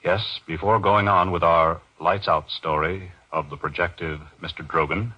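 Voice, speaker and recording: A man hosting an old-time radio horror show, reading scripted narration in a formal, measured manner. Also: deep voice, fairly even intonation, husky, highs cut off.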